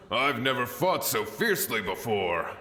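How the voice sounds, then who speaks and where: Fervent samurai in echoey space. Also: male voice, medium pitch, big pitch swings, slow, pushed and bright, full character voice. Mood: relieved. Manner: fervent, declamatory. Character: devoted samurai soldier, fervent, brave and reverent. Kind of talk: video game voice acting. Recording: roomy echo under music.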